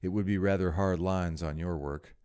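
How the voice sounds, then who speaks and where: A man voicing a witty, cynical aristocratic gentleman who speaks in an airy, amused way. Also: low-pitched, steady tone, moderate pace, clean tone, lightly acted.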